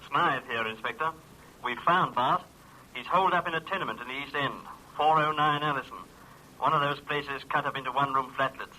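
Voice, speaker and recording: A businesslike detective phones in a report in an old radio play. His mid-pitched voice is piercingly sharp and clipped, in telephone sound, with slight hiss behind it. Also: brisk, rough recording. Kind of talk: 1950s radio drama acting.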